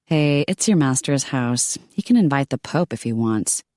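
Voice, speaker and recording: A woman's voice: very deep voice, lively intonation, moderate pace, dark tone, dry recording, studio quality. A woman voicing a sarcastic, casual modern young woman.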